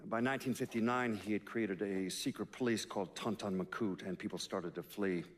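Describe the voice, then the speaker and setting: A man acts as an investigator recounting history in a game's mock documentary. His voice is fairly low and matter-of-fact. Faint noise and clicking sit in the recording. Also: brisk, close to an everyday voice. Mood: factual. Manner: matter-of-fact, small hesitations. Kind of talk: documentary-style testimony in video game.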